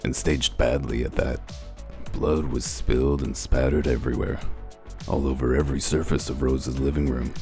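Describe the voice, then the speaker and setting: A man playing a gruff, dry wolf detective who explains things in a deliberate, self-assured way in a comic dub. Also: rumbling low, little pitch movement. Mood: smug, methodical. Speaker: detective character and first-person narrator. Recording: music under the voice. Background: background music.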